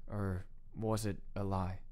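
A man reading first-person scripted audiobook narration in a low-key manner. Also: flat delivery, slow, a little mellow.

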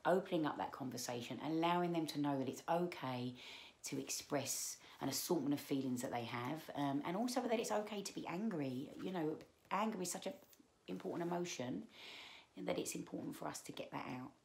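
A woman in her thirties reading aloud to children as a storyteller, expressive, with drawn-out emphasis on key words. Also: sits low, vocal fry. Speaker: children's book read-aloud presenter. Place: small living room, indoors.

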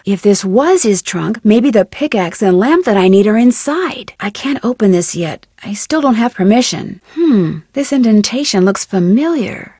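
A young woman with an American accent playing a curious, upbeat teenage girl detective in an adventure game, speaking in a clear, inquisitive way. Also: medium pitch, darkish tone, no room sound, clean studio sound. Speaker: video game voice actor. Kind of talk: scripted adventure game lines, thinking aloud.

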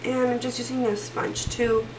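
A woman's voice: on the high side, level tone, normal speed, distant mic, noisy background. A young woman in her twenties, stuffy from a cold, chatting through a makeup tutorial with lots of fillers and restarts. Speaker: beauty vlogger. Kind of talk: casual makeup tutorial chat. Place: small room indoors. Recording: roomy echo, voice a bit off-mic.

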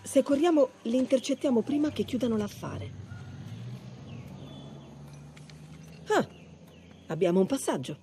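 Bold, high-voiced female adventurer. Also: very animated, fairly quick, slight background noise. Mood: brisk. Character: bold, sardonic female treasure hunter in her thirties. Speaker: voice actor. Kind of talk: naturalistic video game acting. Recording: loud game soundtrack and effects.

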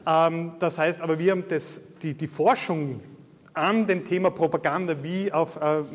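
A man's voice: on the high side, fairly even intonation, moderate pace. A man in his thirties with a Styrian Austrian accent, giving a university guest lecture in a chatty, self-deprecating way with many filler sounds. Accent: Styrian Austrian German. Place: large lecture hall. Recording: reverberant lecture hall.

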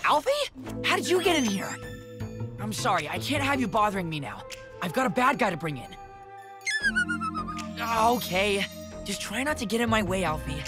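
A woman's voice: mid-range voice, normal speed, long pauses, natural voice. A woman voicing a friendly, earnest young boyish talking car who plays the hero in a children's cartoon. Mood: playful, kindly. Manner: earnest, kindly, expressive. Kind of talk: animated children's cartoon acting. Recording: loud music behind the voice.

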